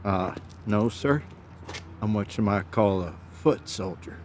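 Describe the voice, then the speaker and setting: A proud foot soldier in a modern Shakespeare audio play, with a lowish voice and unhurried delivery. He is mock-courteous and defiant, over some ambient noise. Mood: proud, polite. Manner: measured, wordy, mock-courteous.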